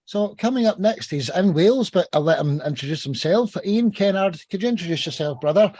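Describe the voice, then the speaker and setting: A man's voice: sits high, animated, a little fast, darkish tone. A man hosting a podcast, introducing guests in an upbeat, friendly way. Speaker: podcast host.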